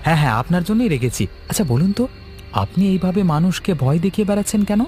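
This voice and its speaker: A man's voice: sits high, lively intonation, fast, darkish tone, faint music behind. A man voicing an earnest, practical village fisherman in a cartoon ghost story. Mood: nervously polite. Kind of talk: animated ghost story dialogue.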